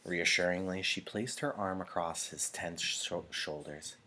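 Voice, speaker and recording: A man reading a novel aloud in an expressive narrating style, like a teacher to a class. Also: low-pitched, measured pace.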